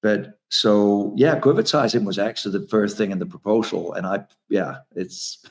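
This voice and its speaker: A very deep-voiced man whose voice is gravelly with fry. He is a native Danish speaker talking in English, and he stops and restarts his sentences often. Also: normal speed. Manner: hesitant, many restarts. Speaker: author and speaker. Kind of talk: casual conversation.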